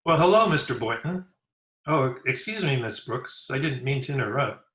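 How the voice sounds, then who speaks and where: An eager, good-natured, none-too-bright schoolboy, acted by a man in an old radio comedy. He talks in a folksy gee-whiz way, with clear room echo around the voice. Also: mid-range voice, lively intonation, normal speed, background noise, lightly acted. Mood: eager, friendly. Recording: echoey room over remote call.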